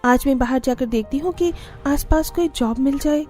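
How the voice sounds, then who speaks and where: A woman acts as a wistful young daughter-in-law from a cartoon story. Her voice is very high and mellow, with music behind it. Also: normal speed, close to an everyday voice. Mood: earnest, resolute. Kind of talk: Hindi cartoon story acting.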